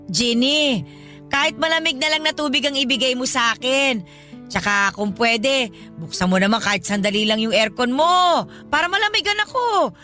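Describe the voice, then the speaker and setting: A woman's voice: high-pitched, animated, moderate pace, pushed and bright. A woman playing a demanding, wheedling older godmother with a Filipino accent, chatty and nagging in a radio drama. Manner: chatty, nagging, theatrically pleading. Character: demanding, wheedling older godmother guilt-tripping her goddaughter. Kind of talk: Tagalog radio drama acting. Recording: music behind the voice.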